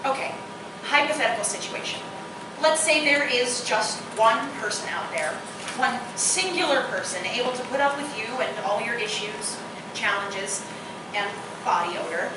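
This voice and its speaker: A woman's voice: fairly high pitch, animated, moderate pace, close to an everyday voice. A woman acting in a live radio drama, playing a blunt, skeptical woman who still hopes for love. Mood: challenging, exasperated. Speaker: actor. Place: roomy live performance space, far from mic. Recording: very echoey, distant live recording, clear noise.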